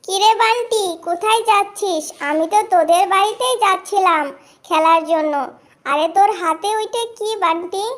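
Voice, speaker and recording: A woman's voice: very high-pitched, moderate pace. A woman voicing an eager, tender-hearted little girl animal in a cartoon fairy tale, earnest and pleading. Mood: cheerful, curious.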